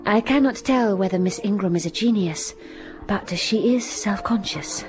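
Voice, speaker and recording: A woman's voice: mid-range voice, normal speed, darkish tone, natural voice. An actress playing a plain, self-critical young governess who narrates her own story in a radio drama. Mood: reflective. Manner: introspective, self-mocking. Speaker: narrator and heroine. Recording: music under the voice. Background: music.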